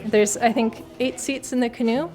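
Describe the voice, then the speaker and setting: A woman's voice: on the high side, steady tone, moderate pace, darkish tone, faint music behind. Enthusiastic young woman student. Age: young adult.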